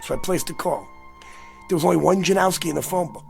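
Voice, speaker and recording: High-pitched, gravelly, haunted man. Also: expressive, fast, faint music behind. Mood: haunted, intent. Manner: urgent, pleading. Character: agitated, insistent man haunted by a recurring dream.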